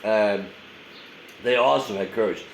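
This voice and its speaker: A man's voice: on the low side, a little slow, gravelly, reverberant, a little hiss or hum, rough recording. A male pastor giving a short, casual daily sermon, sounding a little nasal from a head cold and talking conversationally with many fillers.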